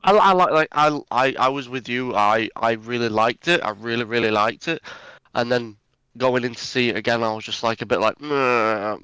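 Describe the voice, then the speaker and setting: A man's voice: mid-range voice, moderate pace, sharp tone, clear, resonant tone, dry recording, poor audio. A young man co-hosting a movie review show, chatting casually with stammering restarts. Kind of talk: casual chat.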